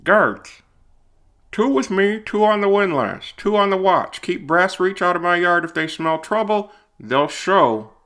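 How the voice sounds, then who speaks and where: A man's voice: high-pitched, animated, a little slow, fairly bright tone, clean tone, voice pushed up. A man voicing a commanding male guard leader who barks clipped, urgent orders in an audio drama.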